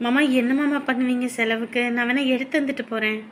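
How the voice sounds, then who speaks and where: A woman playing a polite young daughter-in-law who speaks softly and respectfully in a family drama. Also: a little high, fairly even intonation, quick pace, a little hiss or hum, lightly acted.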